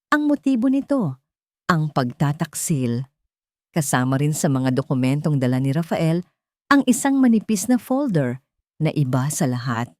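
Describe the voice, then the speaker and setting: A woman's voice: low-pitched, a little mellow, dry recording, studio quality. A woman reading a dramatic romance story as scripted narration.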